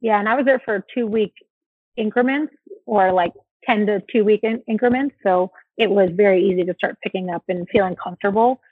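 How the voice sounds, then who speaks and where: A young adult woman from the United States, talking casually as an interview guest, relaxed and chatty with many fillers and restarts. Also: medium pitch, brisk, slightly rough, no room sound. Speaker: interview guest, former soccer player. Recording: dry tinny phone-like line.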